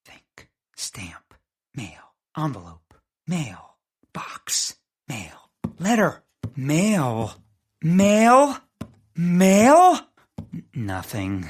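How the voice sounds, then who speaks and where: Excitable bumbling sleuth, comic audio drama; stammering, rambling, unhurried between outbursts, very animated pitch. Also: full character voice. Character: excitable, bumbling detective Eugene, easily overjoyed.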